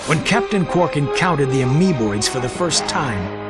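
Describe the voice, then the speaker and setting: An actor playing a prim robot butler who narrates a comic in a formal, deadpan way. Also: male voice, on the high side, moderate pace, music in the background, natural voice. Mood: dry mockery.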